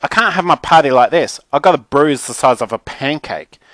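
A man's voice: on the high side, animated, fairly quick, a bit bright, dry recording, voice pushed up. A man voicing a spoiled, bossy teenage girl for a children's audiobook, whiny and melodramatic. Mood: whining outrage. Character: spoiled, dramatic, indignant teenage girl on the phone.

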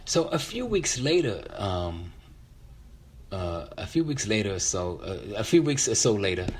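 Low-voiced middle-aged man telling a story. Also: very animated, a little slow, a little hiss or hum. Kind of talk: casual personal storytelling.

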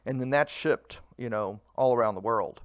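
A man in his fifties who runs a food truck, talking casually in an interview, relaxed and rambling with fillers. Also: medium pitch, normal speed, clear, resonant tone, dry recording.

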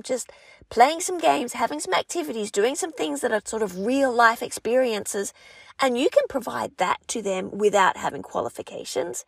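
An encouraging Australian woman coaching would-be language tutors in a high, bright-pitched voice with a gravelly edge of vocal fry. She sounds reassuring and emphatic, and the sound is close and dry, with no room echo. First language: English. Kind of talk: encouraging talk to aspiring tutors.

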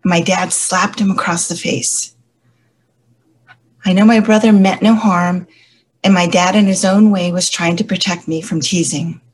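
A woman who stutters, a church elder, giving a measured, reflective personal faith testimony. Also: lowish voice, fairly even intonation, slightly rough, rough recording.

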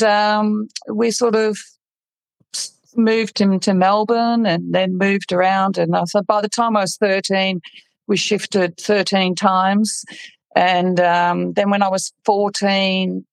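A middle-aged woman from the Netherlands, a podcast guest, telling her life story in a frank, relaxed way and laughing at it. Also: mid-range voice, slow, creaky, dry recording. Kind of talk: casual interview storytelling.

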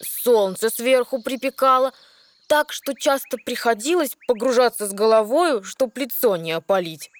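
A woman's voice: a little high, moderate pace, natural voice. A woman playing a young mermaid princess, reciting lyrical verse in an audio fairy tale. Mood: wondering. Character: young mermaid princess sisters recounting sea wonders. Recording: music under the voice.

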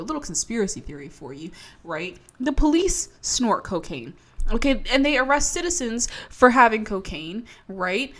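A young woman from Maryland with a slight Baltimore accent, ranting in a sarcastic, profane, emphatic comedy monologue. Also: a little high. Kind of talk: comedic rant to camera.

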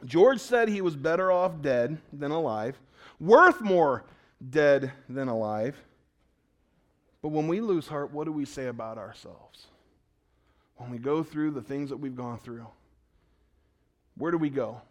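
A man preaches to his congregation in a conversational tone. His voice sits fairly high for a man. He asks the crowd questions and swings between loud, urgent lines and hushed ones. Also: lively intonation, measured pace, long pauses. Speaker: pastor. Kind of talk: sermon.

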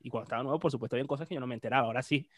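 A man's voice: mid-range voice, racing, no echo, clean studio sound. A man, a guest on an online talk show, telling stories in a chatty, self-mocking way and often trailing off and restarting. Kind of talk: casual chat on an online show. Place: lives in Spain.

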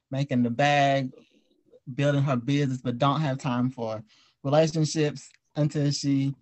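A man's voice: mid-range voice, darkish tone. A man hosting a casual group discussion, asking questions and explaining things conversationally. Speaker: podcast host.

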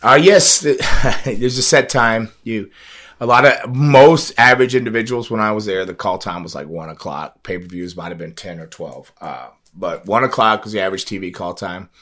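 Laid-back rambling man, low voice. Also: moderate pace, fairly bright tone. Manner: laid-back, rambling with many restarts and fillers. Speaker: podcast host and former wrestler. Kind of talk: casual interview chat.

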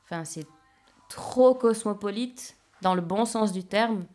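A woman speaking casually and enthusiastically in an interview, in a relaxed, slangy way. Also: mid-range voice, slow pace.

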